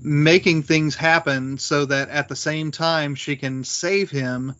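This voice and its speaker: A man co-hosting a podcast in casual, analytical discussion, thinking aloud with halting restarts, on dull, faintly noisy audio. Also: fairly high pitch, fairly even intonation, a bit bright. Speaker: podcast co-host. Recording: dull lo-fi audio with background noise.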